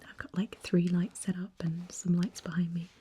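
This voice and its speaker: A young woman speaking very softly in a gentle, halting ASMR makeup chat, her whispery voice slightly croaky and hoarse after an illness. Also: lowish voice, little pitch movement, a little hiss or hum. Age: young adult. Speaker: ASMR vlogger.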